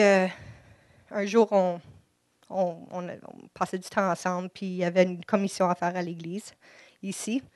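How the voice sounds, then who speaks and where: Quebecoise woman, English first language, nervous church testimony, mid-pitched, dry close sound. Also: no echo. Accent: Quebec French. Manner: halting, shy, many restarts. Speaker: church member giving testimony. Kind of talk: personal faith testimony. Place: church service. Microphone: microphone before an audience.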